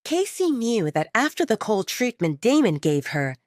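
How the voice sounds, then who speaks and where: A woman's voice: a little high, big pitch swings, moderate pace, no echo, clean studio sound. A woman narrating a scripted romance story for an audio drama.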